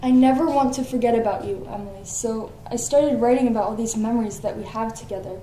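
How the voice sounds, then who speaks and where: A woman's voice: a little high, fast talker, warm tone, lightly acted. A woman playing a loving, forgetful middle-aged mother, reciting diary entries tenderly and earnestly in a stage play. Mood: apologetic, loving. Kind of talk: dramatic monologue in a one-act play. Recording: echoey stage, background noise.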